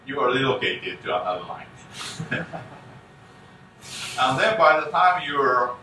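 A man gives a conference keynote talk in halting English full of restarts, speaking from the front of a conference room. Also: mid-range voice, sing-song, bright, forceful tone. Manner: halting non-native English, frequent restarts. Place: conference room with projector screen. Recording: echoey room, distant mic, faint hum.

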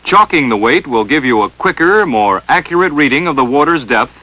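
Bright, animated American training-film narrator man. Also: mid-range voice, a little fast, poor audio. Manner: stern, emphatic, dramatic coaching delivery. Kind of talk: 1940s instructional film narration, second-person. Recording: vintage film soundtrack.

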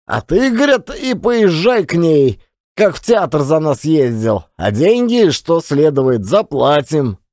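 An actor playing a big, brash, rich Siberian gold-mine owner with a bluff, boisterous manner. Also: male voice, on the high side, sing-song, measured pace, darkish tone, dry recording, studio quality. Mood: boisterous, amused.

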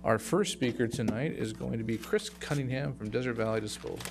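A man's voice: mid-range voice, brisk, gravelly. A middle-aged American man chairing a council meeting in a formal, businesslike way, partly reading aloud. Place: council chamber. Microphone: dais microphone. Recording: loud hum and noise.

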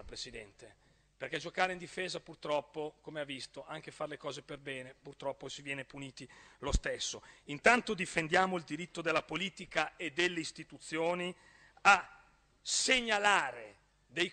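A man in his thirties with a northern Italian accent addresses a council, speaking into a desk microphone and gesturing as he pushes his points. His voice is very bright and cutting, a little higher than most men's. The chamber adds a long, ringing reverb. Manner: forceful, rising to emphatic outbursts, gestures while speaking. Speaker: regional councillor. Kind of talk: parliamentary speech. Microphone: desk gooseneck microphone.

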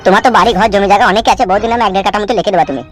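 A man's voice: sits high, very fast, fairly bright tone, soft background music. A man voicing a placating, deferential henchman of a village boss in a comedy cartoon.

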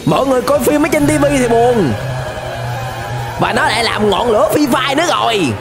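A young man giving excited, exclamatory reaction commentary as a video host, dragging out words for drama, close to the microphone. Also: very high-pitched, a little fast. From Vietnam. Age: young adult. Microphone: close desk microphone. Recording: music behind the voice.

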